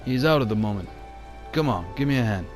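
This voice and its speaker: A man's voice: mid-range voice, lively intonation, a little fast, faint music behind, close to an everyday voice. A man voicing an easygoing, protective ship's cook with a casual, friendly manner in an amateur motion comic.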